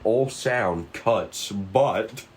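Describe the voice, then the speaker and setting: Slow-paced, lowish-voiced man narrating. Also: a little hiss or hum. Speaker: script reader and narrator. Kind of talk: reading stage directions at a table read.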